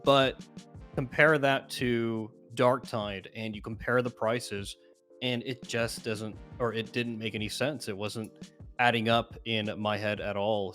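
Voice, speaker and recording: A man's voice: mid-range voice, a bit bright. A man giving casual gaming commentary as a guest, explaining his opinions at length.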